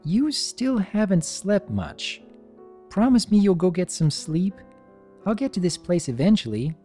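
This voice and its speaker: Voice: mid-range voice, very animated, measured pace, mellow, soft background music, voice pushed up. A storyteller voicing a small young male dragon, earnest, nervous and affectionate, with a hesitant manner. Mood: caring, upbeat. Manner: stammering, hesitant.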